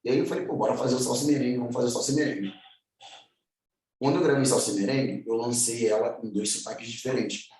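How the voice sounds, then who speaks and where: A man in his twenties from Angola with a carioca accent, telling his story as a musician in a relaxed, slangy way full of fillers. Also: medium pitch, fast. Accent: Rio de Janeiro (carioca). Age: 20s. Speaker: musician guest. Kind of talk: casual personal storytelling. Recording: very echoey room, far from the mic.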